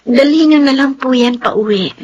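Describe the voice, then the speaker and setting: A woman's voice: high-pitched, moderate pace, darkish tone, lo-fi sound, slight background noise, close to an everyday voice. A woman playing a defiant young daughter pleading for her own dreams, in emotional Filipino radio drama style with a Filipino accent. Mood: bitter, resentful. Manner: emotional, tearful, emphatic. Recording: music bed under the dialogue.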